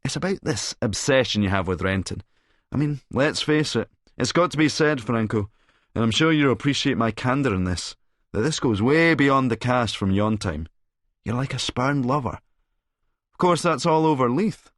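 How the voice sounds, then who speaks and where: A cocky Scottish schemer, acted by a man for an audiobook. He is smooth-talking, theatrical and taunting, pitched up a little from a low register. The sound is dry and close. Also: lively intonation, normal speed, no echo, lightly acted. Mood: mocking.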